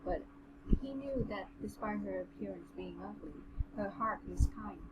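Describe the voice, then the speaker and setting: Woman, medium pitch, amateur story reader, halting delivery, casual co-host, heavy noise on the recording. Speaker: co-host reading a novel aloud. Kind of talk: amateur audiobook reading with casual commentary. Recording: very noisy home recording.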